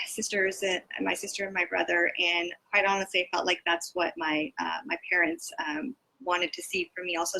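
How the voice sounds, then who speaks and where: A woman's voice: lowish voice, bright, forceful tone, rough recording. A woman on a discussion panel, speaking earnestly with many "um"s as she searches for words. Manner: earnest, searching, filler-heavy. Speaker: panel member.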